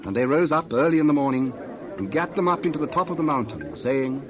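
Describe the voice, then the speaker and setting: A man's voice: a little high, expressive, a little fast, crowd noise, noisy background. A man narrating scripture from a script in a formal, solemn manner. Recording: faint outdoor ambience with birds.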